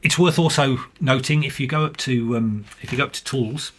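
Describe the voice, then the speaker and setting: A man giving an informal, explanatory software walkthrough as the developer, hesitant in delivery, with a slightly husky voice. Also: mid-range voice, brisk. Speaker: software developer and guest demonstrating his program.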